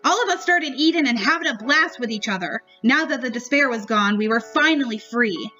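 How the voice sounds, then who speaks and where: A woman's voice: on the high side, expressive, a little fast, bright, forceful tone, muffled recording. A woman reading her own story aloud as an amateur narrator. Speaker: story writer and reader. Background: music.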